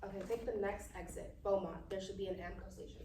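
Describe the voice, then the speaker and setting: A woman's voice: medium pitch, fairly even intonation, quick pace, close to an everyday voice. A woman acting a moody young niece on a road trip at a live table read, casual and sassy. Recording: echoey room mic with clear background noise.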